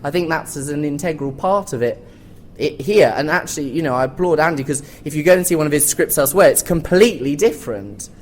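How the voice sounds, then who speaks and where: A woman's voice: deep voice, expressive, fast talker, darkish tone. A British woman on a discussion panel, explaining her opinions in an articulate, informal way. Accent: British English. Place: theatre, live panel after a show. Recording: live theatre recording with background noise.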